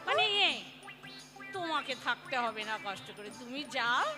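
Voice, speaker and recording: A woman's voice: high-pitched, animated, normal speed, music in the background. A woman acting a flustered, exasperated middle-aged housewife in a play reading, theatrical and prone to evasive stammering. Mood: evasive, impatient.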